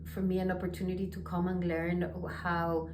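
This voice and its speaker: Distant, echoey low-voiced woman from Ecuador. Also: level tone, normal speed. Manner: hesitant, many fillers and restarts. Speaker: community organizer. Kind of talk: interview answers. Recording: echoey, distant, noisy room.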